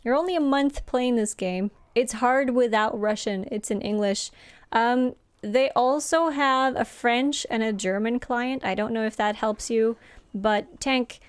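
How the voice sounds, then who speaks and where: A young woman whose first language is Norwegian, chatting casually with viewers while she streams a game. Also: fairly high pitch. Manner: chatty and friendly, laughs often. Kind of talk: casual live-stream chat, reading chat aloud.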